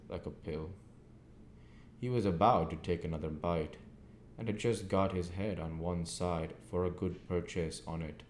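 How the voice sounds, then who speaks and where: A low-pitched man reads a classic novel aloud at a slow pace. His phrasing is deliberate and broken into short pieces. The room echoes around him. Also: darkish tone. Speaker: audiobook reader. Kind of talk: scripted narration of a classic novel. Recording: echoey room with faint background noise.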